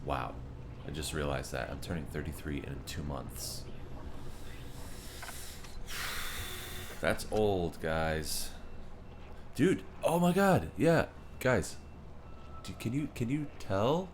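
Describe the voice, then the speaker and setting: Deep-voiced man streaming a game. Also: very animated, slow, long pauses, slight background noise. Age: 30s. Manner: chatty, talks to chat viewers, reads their messages aloud. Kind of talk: casual live-stream chat while gaming.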